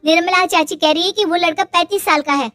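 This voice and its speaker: A woman's voice: very high voice, fairly even intonation, fast, fairly bright tone, dry recording, studio quality. A woman playing a young unmarried schoolteacher daughter, defensive but firm, in a cartoon drama. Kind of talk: Hindi cartoon dialogue.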